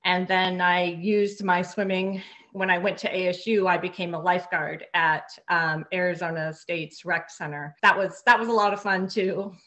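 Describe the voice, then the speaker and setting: A woman's voice: fairly low pitch, steady tone, moderate pace, bright, forceful tone. A woman in her fifties from Hawaii, a guest on a video call from her living room, talking earnestly and often repeating her words. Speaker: guest, real estate broker. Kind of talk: casual interview over video call. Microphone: laptop webcam on video call.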